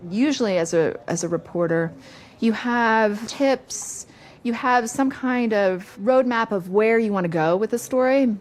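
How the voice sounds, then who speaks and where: A woman reporter speaking conversationally in an interview, stressing her points with lively emphasis. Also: fairly high pitch, measured pace. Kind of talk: documentary interview.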